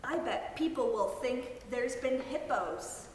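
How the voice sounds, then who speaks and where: Actress as a curious young boy; high, unhurried, distant in a reverberant hall. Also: animated, slight background noise. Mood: playful. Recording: echoey hall from far off.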